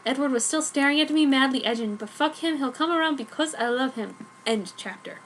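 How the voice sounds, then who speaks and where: A woman's voice: sits high, a little fast, a bit bright, low-quality recording. A woman narrating amateur fan fiction in the first person as a theatrical dramatic reading. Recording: noticeable background noise.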